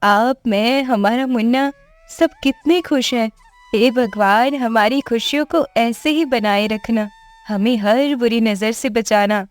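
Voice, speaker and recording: An earnest farmer's wife in a cartoon village tale, played by a woman. She has a fairly high, pleading voice, devout and anxious, over soft music. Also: takes it slowly. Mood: grateful, prayerful. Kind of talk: Hindi animated moral story acting.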